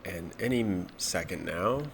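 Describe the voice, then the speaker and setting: A man with a cold and a stuffed-up nose, narrating his spearfishing dive footage in a relaxed, matter-of-fact way. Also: deep voice, normal speed, slight background noise. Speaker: spearfishing vlogger.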